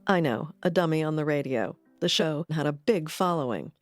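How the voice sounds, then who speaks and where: A woman reading scripted documentary narration in a measured, articulate way. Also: low voice, lively intonation, no room sound, faint music behind.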